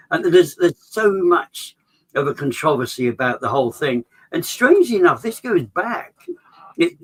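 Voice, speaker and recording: A man explains a topic in a loose, conversational way. He rambles, restarts his sentences and leans on "sort of". His pitch leaps up now and then for emphasis. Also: fairly bright tone, slightly rough, poor audio. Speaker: association chair, regular guest.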